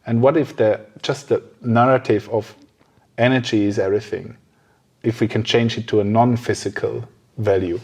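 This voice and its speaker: Halting man hosting a casual podcast. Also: lowish voice, steady tone, creaky, a little mellow, husky. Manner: halting, searching for words. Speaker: podcast host. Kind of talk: casual conversational interview.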